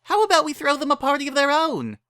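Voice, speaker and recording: A narrator plays an excitable young female cartoon pony, bubbly and insistent. The voice jumps up high and bright and swings around with eager cheer. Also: quick pace, no echo, clean studio sound, exaggerated voice.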